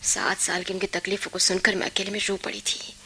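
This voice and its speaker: A woman's voice: medium pitch, little pitch movement, a little fast, sharp tone, in a whisper, natural voice. An actress playing an embittered, dutiful young working woman in a Hindi radio play, speaking with earnest, emotional delivery. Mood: bitter.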